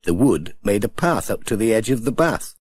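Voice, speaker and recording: A man reading a children's storybook aloud with gentle, expressive storytelling. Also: on the low side, normal speed, husky. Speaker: audiobook narrator.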